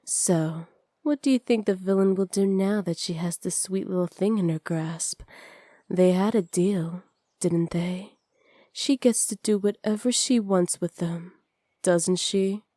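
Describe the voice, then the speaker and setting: A woman playing a seductive, teasing witch in an intimate ASMR roleplay, sly and possessive, often slipping into breathy whispers close to the microphone. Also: on the low side, warm tone, no room sound. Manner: slow, breathy, often whispered, flirtatious purr. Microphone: close studio microphone.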